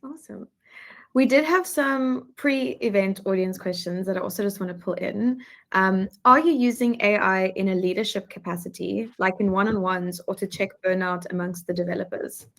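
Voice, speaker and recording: Moderator, female, brisk, reads each audience question out cleanly. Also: mid-range voice, vocal fry, fairly bright tone. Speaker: event moderator. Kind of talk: reading audience questions aloud.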